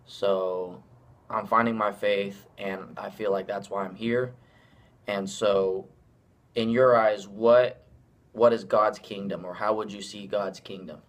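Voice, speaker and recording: A young student interviewer with a somewhat low voice. He asks long, meandering questions in a relaxed, casual way and mixes in his own experience. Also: takes it slowly. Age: young adult. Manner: rambling, personal questions.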